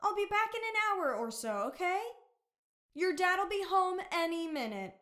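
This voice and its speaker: Woman acting a high-voiced, overprotective mom. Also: sing-song, moderate pace, a bit bright, full character voice. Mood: motherly concern. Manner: sweet and fussing with children. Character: caring, overprotective mother working for police. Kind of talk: scripted family audio drama.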